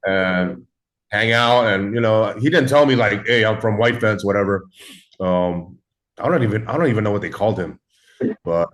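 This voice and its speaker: Man, forties, laid-back storyteller, casual swearing, video call. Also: medium pitch. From raised in Los Angeles. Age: 40s. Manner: laid-back, swears often, lots of fillers. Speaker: guest.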